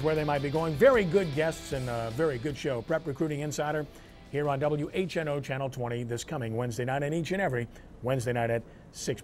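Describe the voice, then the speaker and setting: A man hosting a sports show, speaking in punchy, clipped announcer phrases. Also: on the high side. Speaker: sports talk show host. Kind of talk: sports show commentary and read promos.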